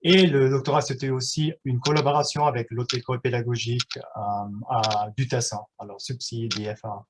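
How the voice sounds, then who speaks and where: A man in his thirties whose first language is German, giving a live online workshop in a halting way, with many fillers and restarts. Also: mid-range voice, steady tone, background noise. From German-speaking Switzerland.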